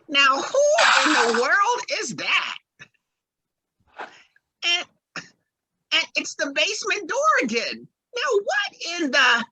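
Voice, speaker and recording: A woman's voice: very high voice, pushed and bright, no echo, pitched above the performer's own voice, full character voice, low-quality recording. A woman voicing a fretful young husband in an old-time radio drama, stammering and flustered as he fusses over his mother. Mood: startled.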